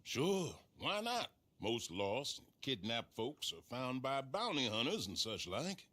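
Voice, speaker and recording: A man's voice: mid-range voice, sing-song, takes it slowly, sharp tone, full character voice. An actor playing a folksy, dismissive Old West lawman with a Western American accent in a game cutscene. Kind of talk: video game cutscene acting.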